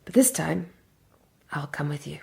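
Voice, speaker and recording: An actress with an English accent playing a resolute, protective Victorian gentlewoman in a radio drama, speaking earnestly and formally. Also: deep voice, animated, a little slow, creaky, slightly rough, heavily acted. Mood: earnest, conspiratorial. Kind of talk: radio drama acting with first-person narration.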